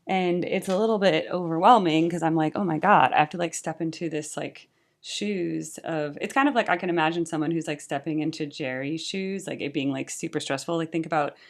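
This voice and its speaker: A woman's voice: low-pitched, fairly quick. A young woman talking casually about music, earnest and chatty, with frequent "like" and "right?". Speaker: YouTube music reactor and tribute band singer.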